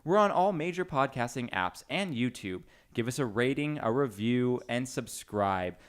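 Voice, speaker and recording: A man with a mid-pitched voice chats as a podcast host. His delivery is informal and jokey, like talk among friends. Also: expressive, normal speed. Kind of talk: casual chat.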